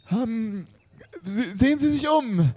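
A man acts out a servile English butler in a comic audio play. His voice is very high and husky, soft-edged and close and dry, and he rambles along hesitantly. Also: animated, unhurried, no room sound, full character voice. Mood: servile, rambling. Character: eager, rambling English butler of an art collector. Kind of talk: improvised comic audio drama.

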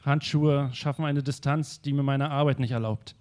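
A man's voice: mid-range voice, fairly even intonation, moderate pace, darkish tone, natural voice. A man playing an indignant rich collector. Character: rich eccentric male art collector, indignant.

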